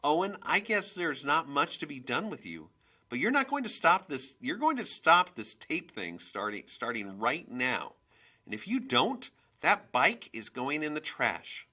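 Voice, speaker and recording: A man voicing a volatile, domineering mother in a storybook reading, with a sharp, scolding, emphatic manner. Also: on the high side, big pitch swings, a little slow, pitched above the performer's own voice, full character voice. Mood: furious, threatening.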